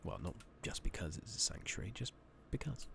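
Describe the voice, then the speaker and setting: A man with a very low voice, heavy with vocal fry, softly narrates his game in an ASMR style. His hushed delivery rises and dips in a lively way as he muses to himself, unhurried, at times close to a whisper. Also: slight background noise. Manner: hushed, murmured, sometimes slipping into whisper. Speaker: gaming channel host. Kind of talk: soft-spoken ASMR game playthrough commentary.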